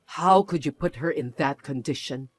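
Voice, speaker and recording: A voice actor playing a protective middle-aged mother who speaks in a low, cold and clipped way. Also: normal speed, dry recording. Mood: accusing. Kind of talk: dramatized story reading.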